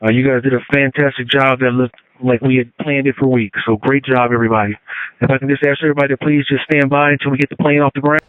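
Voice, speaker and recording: American cop on police radio, dull band-limited sound, clear smooth higher voice, telling units what to do. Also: male voice, fairly even intonation, quick pace. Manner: plain-spoken, instructive. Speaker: police officer directing units. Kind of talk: operational radio dispatch talk.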